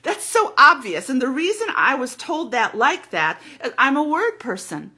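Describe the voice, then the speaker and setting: Woman spiritual teacher, loud, emphatic monologue, bright forceful tone. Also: high voice, sing-song, unhurried, husky. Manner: emphatic, fervent, repeats words.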